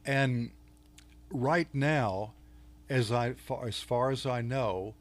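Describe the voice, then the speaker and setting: An older man from Texas with a Texan accent, a guest on an interview show, talking in a halting way with many fillers and restarts. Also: medium pitch. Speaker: podcast guest, author. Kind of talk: casual interview talk.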